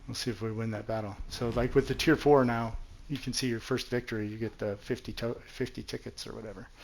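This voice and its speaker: A man's voice: medium pitch, steady tone, fast talker, gravelly, darkish tone, slight background noise, low-quality recording. A man from New Mexico giving chatty, self-deprecating commentary over his own gameplay as the host of a gaming channel.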